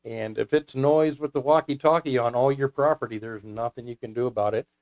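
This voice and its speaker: A man's voice: medium pitch, steady tone, fairly quick, darkish tone. Man chatting casually, slightly dull audio. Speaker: guest, CB radio hobbyist. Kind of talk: casual hobby talk with anecdotes.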